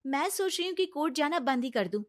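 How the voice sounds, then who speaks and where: Woman playing a high-pitched, exasperated young daughter-in-law. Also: expressive, quick pace. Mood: fed up. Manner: protesting, earnest. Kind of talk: Hindi cartoon story voice acting.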